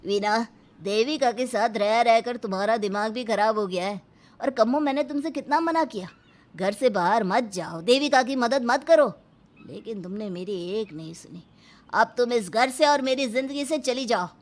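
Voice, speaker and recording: Here is a woman acting out a domineering husband from an Indian moral story. The voice is somewhat high, scolding and commanding, with dramatic delivery. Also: lively intonation, normal speed, close to an everyday voice. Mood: angry, scolding.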